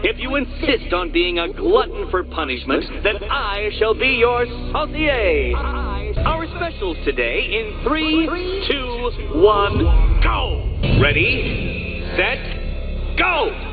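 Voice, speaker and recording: Arrogant riddle-obsessed game villain: slow, savoured taunts, hugely animated intonation, condescending and pompous. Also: male voice, high voice, exaggerated voice. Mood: mocking, gleeful. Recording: dull lo-fi sound under loud music.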